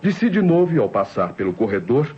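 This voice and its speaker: An actor playing a cold-blooded, scheming nephew who narrates his murder plot in deliberate, theatrical 1960s radio crime drama style. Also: mid-range voice, very animated, lightly acted. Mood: calculating, confiding. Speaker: narrator and protagonist. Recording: muffled old radio recording with music.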